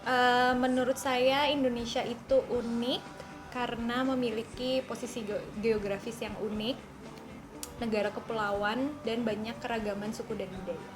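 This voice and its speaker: A woman's voice: fairly high pitch, fairly even intonation, moderate pace, over music. A woman from Yogyakarta answering interview questions eagerly, with some fillers.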